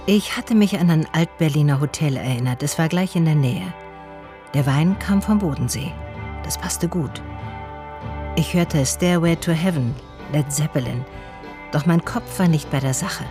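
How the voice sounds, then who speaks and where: A woman's voice: low-pitched, moderate pace, over music, natural voice. An actress playing a female private detective in a radio play, narrating and talking in a dry, slightly weary, curious way. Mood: reflective, narrating. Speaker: narrator and lead character.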